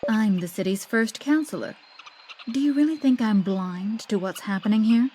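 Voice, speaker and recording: A stern female councilor from a fantasy game, acted by a woman, formal and authoritative. Her mid-pitched voice carries command, with faint noise behind it. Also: normal speed, warm tone, lightly acted. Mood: firm, decisive. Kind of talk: English video game cutscene acting.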